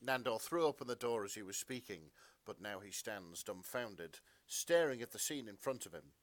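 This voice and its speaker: A man with a lowish voice narrates fan fiction with melodramatic flair. He is a podcast storyteller, and the sound is close and dry. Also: expressive, normal speed, dry recording.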